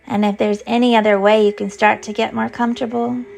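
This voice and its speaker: A woman leading a guided yoga nidra meditation as a teacher, with a soothing, gentle, unhurried manner of instruction. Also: a little high, level tone, creaky, soft background music.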